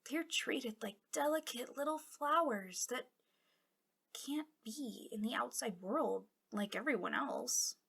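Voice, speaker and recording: A woman's voice: on the high side, takes it slowly, vocal fry, fairly bright tone, husky, exaggerated voice. A woman playing a bitter, impassioned female villain guarding a captive in an intimate ASMR roleplay, drifting between dramatic speech and whispers. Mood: sad, earnest.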